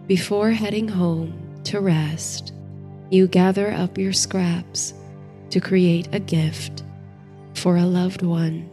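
Female sleep-story guide: soft mellow tone, very unhurried, hushed and calming delivery. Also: on the low side. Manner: soothing, hushed, gentle, unhurried. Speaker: host and narrator of a sleep story series. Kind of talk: bedtime story and guided meditation narration. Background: faint music.

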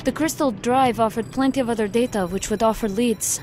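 An actress playing a formal, analytical federal agent in an audio drama, speaking precisely. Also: female voice, on the high side, brisk, music in the background, lightly acted. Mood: measured, analytical. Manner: precise, formal, without contractions.

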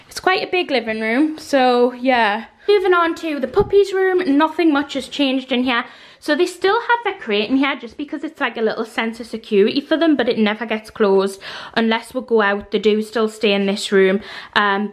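A young woman with a Geordie accent and a fairly high-pitched voice. She talks in a chatty, informal way, like a vlogger showing viewers around on a handheld camera. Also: no room sound. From Newcastle, North East England. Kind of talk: casual house tour narration. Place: walking through a house and garden. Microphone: handheld camera, held by speaker.

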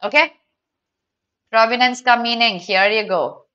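Casting: young woman, Indian accent, online exam tutor, high-pitched and crisp-edged bright voice, instructional, mixes Hindi into English. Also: measured pace, highs cut off. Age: young adult. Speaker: exam prep teacher. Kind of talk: online lesson, talking to live students.

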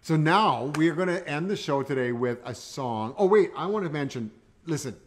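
A man's voice: a little high, animated, normal speed, slight background noise. Chatty older man co-hosting. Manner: enthusiastic, chatty, echoes his partner's words. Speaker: co-host of a music livestream. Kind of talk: casual chat. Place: living room at home. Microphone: studio mic on stand, far camera.

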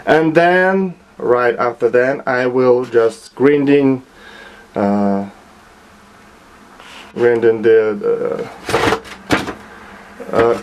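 A man with a Russian accent explaining his own restoration work in a small home workshop, speaking haltingly with many filler sounds and restarts. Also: medium pitch, background noise. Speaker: hobbyist restorer. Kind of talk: hesitant show-and-tell narration.

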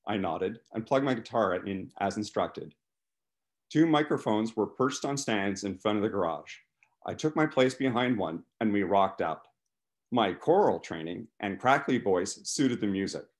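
A man in his fifties doing an animated first-person reading from his novel over a video call. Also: lowish voice, a little slow. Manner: animated, acts out dialogue. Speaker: author reading from own novel. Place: small living room, indoors. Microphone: video call, computer microphone.

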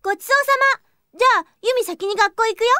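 A woman's voice: very high-pitched, fast talker, fairly bright tone, dry recording. A woman playing a bubbly, hot-tempered teenage little sister in an anime-style audio drama, girlish and pouty. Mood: cheerful.

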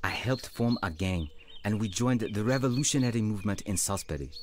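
A man's voice: fairly low pitch, animated, a little fast, a little hiss or hum, lightly acted. An actor playing a humble African evangelist, telling his life story earnestly in a radio drama. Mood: candid, sorrowful. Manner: earnest, reflective. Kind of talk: dramatised first-person narration and dialogue.

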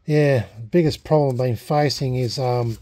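An Australian man talking casually and unscripted in a workbench vlog, halting and thinking aloud as a hobbyist. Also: medium pitch, steady tone, warm tone. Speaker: hobbyist vlogger restoring a vintage radio. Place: small indoor home workshop at a bench.

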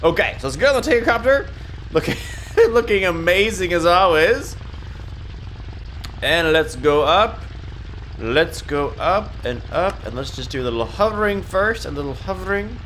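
Congested young man with a lively voice. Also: sits high, moderate pace, slight background noise. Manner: rambling, self-correcting, giggly. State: congested, nasal from a stuffy nose. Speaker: gaming YouTuber. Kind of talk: casual gameplay commentary.

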